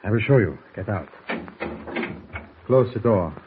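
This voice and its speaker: Villainous German doctor, domineering, precise, condescending, low voice, old radio drama, muffled noisy recording. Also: male voice, expressive, measured pace. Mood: commanding. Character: domineering villainous male German spy doctor, scheming mastermind. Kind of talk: 1940s radio acting.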